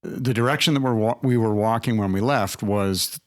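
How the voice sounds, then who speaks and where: A man, a guest, telling his own story haltingly and candidly, with many restarts. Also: fairly low pitch, no room sound, clean studio sound. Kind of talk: personal storytelling.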